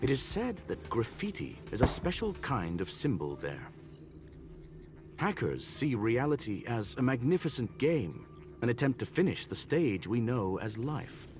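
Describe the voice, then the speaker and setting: A man voicing a learned mechanical gentleman in a video game, formal, calm and eloquent. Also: mid-range voice, unhurried. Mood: calm, musing. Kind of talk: scripted video game dialogue. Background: game music.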